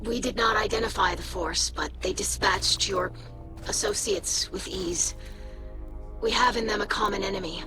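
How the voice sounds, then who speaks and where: Woman playing an earnest young alien scribe over loud music. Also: mid-range voice, normal speed, lightly acted. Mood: diplomatic. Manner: formal, polite, diplomatic. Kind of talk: dramatic video game cutscene acting.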